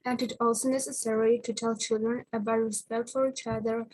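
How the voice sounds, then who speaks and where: Young woman, husky and kinda monotone, reading slides a bit haltingly on a video call. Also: fairly high pitch, no echo, low-quality recording. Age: young adult. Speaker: youth ambassador presenter. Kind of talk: reading a prepared presentation.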